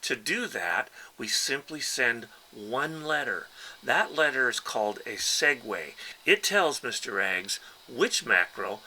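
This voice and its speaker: A man's voice: medium pitch, sing-song, slow, pushed and bright, husky, rough recording. A man presenting a casual step-by-step tutorial, explaining patiently in short, simple steps as an instructor. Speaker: instructor and presenter demonstrating a ham radio keyer.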